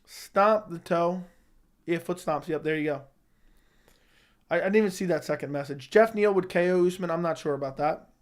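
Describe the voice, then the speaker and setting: A slightly hoarse young man hosting a casual live chat, answering viewers with blunt opinions. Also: a little high, normal speed. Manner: opinionated, talks straight to chat. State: slightly hoarse, losing his voice. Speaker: livestream host answering chat.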